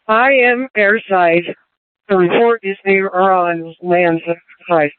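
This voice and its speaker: A man's voice: sits high, steady tone, slow, clear, resonant tone, dry recording. A man working as a field unit, giving brief operational replies over a narrowband police two-way radio.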